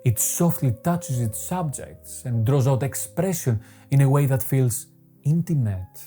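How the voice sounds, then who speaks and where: Man, very mellow dark tone, measured scripted narration, soft guitar music behind. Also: medium pitch, expressive. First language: Greek. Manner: measured, poetic, deliberate phrasing. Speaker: photography channel host. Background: faint guitar music.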